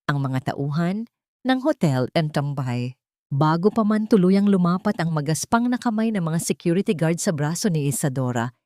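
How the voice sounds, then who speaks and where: Lower-pitched Filipino woman narrating drama. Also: darkish tone, no room sound, clean studio sound. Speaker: audiobook narrator. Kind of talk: dramatic scripted story narration.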